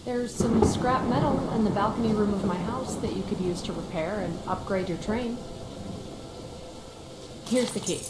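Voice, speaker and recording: An actor voicing a helpful, easygoing island villager in a video game, speaking in an obliging, conversational way. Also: male voice, high-pitched, moderate pace, close to an everyday voice. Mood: friendly, helpful. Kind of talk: video game cutscene acting. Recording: echoey, with loud ambient vehicle noise.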